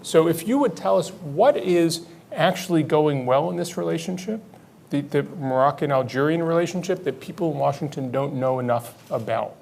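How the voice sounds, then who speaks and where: Casting: mid-pitched male moderator with a gravelly edge, courteous and dry, faint noise behind. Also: lively intonation, normal speed, darkish tone. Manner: polite, dry, wordy with some hesitations. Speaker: moderator, program director. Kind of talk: moderating a policy forum.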